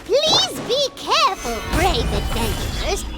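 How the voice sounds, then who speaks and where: A tiny, cheeky fairy with an extremely high, childlike voice that swoops widely. The delivery is theatrical and eager, made for an animated game, with prominent music playing behind it. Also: female voice, unhurried, exaggerated voice. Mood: mock-grand. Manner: speaks of self in third person, exclaims eagerly. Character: small childlike excitable female fairy companion, cheeky and theatrical. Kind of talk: animated video game dialogue.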